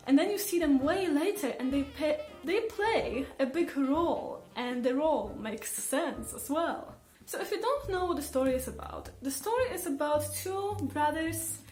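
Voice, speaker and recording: A woman's voice: sits high, a little mellow. A woman vlogger chatting casually and enthusiastically to her audience, with emphatic phrasing. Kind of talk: casual chat to camera about favourites. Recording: roomy echo, faint music behind. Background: faint background music.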